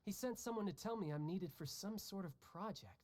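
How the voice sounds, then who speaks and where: A cocky, teasing young fighter. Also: male voice, high voice, animated, a little fast, darkish tone, a little hiss or hum. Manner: breezy, joking, laughs often. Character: cocky young male warrior, restless and teasing. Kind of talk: video game dialogue. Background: game music.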